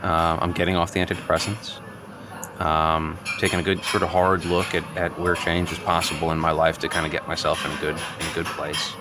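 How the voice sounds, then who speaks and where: A man playing an earnest, neurotic, self-absorbed friend in an improvised radio comedy, halting and over-explaining. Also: deep voice, level tone, natural voice. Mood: awkward, earnest. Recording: clearly noisy background ambience.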